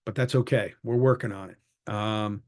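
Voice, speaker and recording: A man's voice: fairly low pitch, steady tone, moderate pace. A man leading an online meeting, giving a conversational update and answering questions with many fillers and restarts. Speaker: advocacy group leader.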